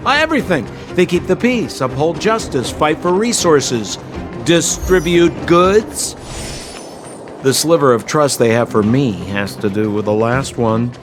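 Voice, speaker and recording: A man's voice: fairly high pitch, animated, unhurried, music in the background. An actor playing a slippery, fawning young male hustler in a video game, with theatrical salesman patter. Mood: explaining, breezy. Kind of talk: video game cutscene voice acting.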